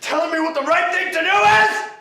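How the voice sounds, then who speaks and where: A traumatized young veteran, bitter and unhinged, raving in bursts that drop to haunted murmurs. His voice sits high and cutting. It is recorded in a very echoey room, a little away from the mic, with faint noise behind. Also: expressive, moderate pace, far from the mic, full character voice. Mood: raging. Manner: ranting, swinging between broken murmurs and yelling. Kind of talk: dramatic film acting.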